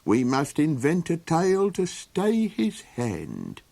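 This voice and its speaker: A man's voice: on the high side, wildly swooping pitch, slow pace, vocal fry, mellow, pitched above the performer's own voice, exaggerated voice. A man voicing a fussy, muddled little helper creature in a storybook, making quaint, earnest pronouncements. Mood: earnest, scheming.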